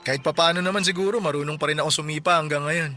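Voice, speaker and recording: A man's voice: on the high side, fairly even intonation, very fast, bright, forceful tone. A man playing a modest, caring young suitor chatting casually in a radio drama. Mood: relaxed, teasing. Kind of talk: audio drama dialogue. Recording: light music bed behind.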